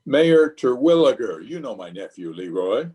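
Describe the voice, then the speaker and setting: A man's voice: medium pitch, big pitch swings, normal speed, mellow, husky. A man playing a blustering, self-important middle-aged uncle and small-town official in an old-time radio comedy, with a pompous manner. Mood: flustered, deferential.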